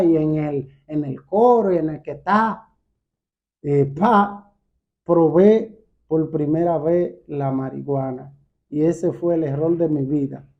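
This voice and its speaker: A man with a Dominican accent giving a personal testimony as a guest, halting and repeating words as he goes. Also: fairly high pitch. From Dominican Republic. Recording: dull, muffled lo-fi sound.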